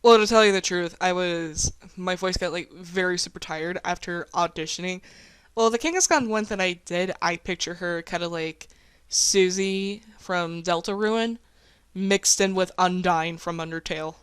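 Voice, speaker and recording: A woman's voice: fairly low pitch, normal speed, smooth, no room sound. A woman chatting casually as an amateur voice actor hosting a discussion. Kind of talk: casual chat.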